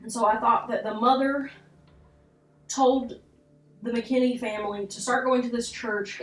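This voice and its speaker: A young adult woman giving casual video commentary on horror, informal and sweary, with self-deprecating asides. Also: medium pitch. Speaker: video essay host. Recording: roomy echo, distant mic, music underneath.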